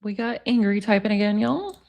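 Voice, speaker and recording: A woman's voice: medium pitch, fairly quick, gravelly, a little mellow, a little hiss or hum. A woman in her forties hosting a livestream. She reads text messages aloud and chats with viewers in the comments. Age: 40s. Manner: chatty, talks to viewers in the comments. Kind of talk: casual livestream chat, reading texts aloud.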